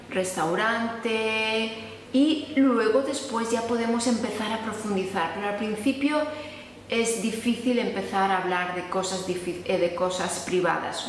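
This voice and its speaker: A woman's voice: a little high, slight background noise. Woman from Spain teaching, echoey room. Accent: Spanish from Spain. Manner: explanatory, acts out sample dialogues. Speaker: Spanish teacher. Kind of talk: casual online lesson. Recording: echoey room with long reverb.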